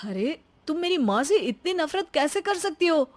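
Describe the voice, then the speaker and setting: A woman's voice: high-pitched, sing-song, normal speed, pitched above the performer's own voice. A woman voicing a mild adult husband in a family story, with a reasoning manner. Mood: reproachful. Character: mild adult husband defending his mother.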